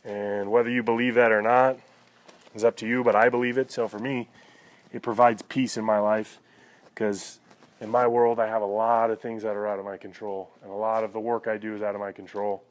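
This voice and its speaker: A man's voice: lowish voice, level tone, brisk, low-quality recording. A man vlogging in a casual, conversational and reflective way, talking to viewers and often checking in with "you know". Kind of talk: casual personal talk to viewers.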